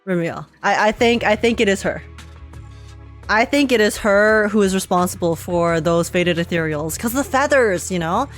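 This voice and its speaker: A woman's voice: mid-range voice, expressive, moderate pace, soft background music. A woman giving casual, slangy live gameplay reactions, musing aloud and repeating her phrases as she goes. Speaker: game streamer.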